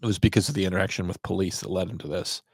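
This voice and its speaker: A man who works as a 911 dispatcher hosting a podcast, giving reflective solo commentary in halting, deliberate phrases. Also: low-pitched, breathy edge, dry recording.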